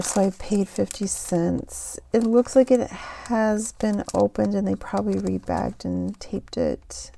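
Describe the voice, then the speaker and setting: Woman vlogger, relaxed haul chat, gravelly and dark, a bit hoarse. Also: medium pitch, slight background noise. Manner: slow, unhurried, chatty with fillers. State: voice getting raspy. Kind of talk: casual haul show-and-tell.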